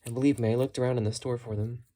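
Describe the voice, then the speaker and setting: Mellow, casual hobbyist vlogger. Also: mid-range voice, little pitch movement, very fast. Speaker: hobbyist vlogger showing thrift-store radio finds. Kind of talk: casual unscripted show-and-tell.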